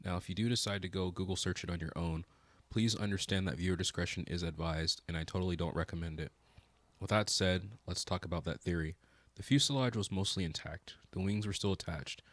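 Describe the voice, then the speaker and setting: A man's voice: low voice, fairly quick, dry recording. A man narrating a scripted documentary in a solemn, dramatic, suspenseful way. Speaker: video narrator, channel host. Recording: loud music under the voice. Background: loud music.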